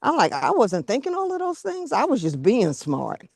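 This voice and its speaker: A woman in a casual, reflective chat, speaking earnestly in short phrases with pauses mid-sentence. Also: on the high side, wildly swooping pitch, slightly rough, no echo, studio quality.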